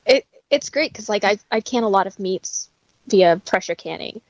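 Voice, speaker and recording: A woman's voice: medium pitch, expressive, normal speed, dry recording, highs cut off. A woman talking casually and instructively, in a matter-of-fact way, as a guest who teaches practical skills. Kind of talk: casual conversational explaining.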